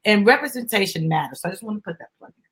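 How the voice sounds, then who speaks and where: Woman, slightly rough-edged voice, upbeat host reading a children's book aloud with casual asides and prompts for a little one. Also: medium pitch, lively intonation, very fast, fairly bright tone. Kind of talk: live dramatic storybook reading with chatty asides.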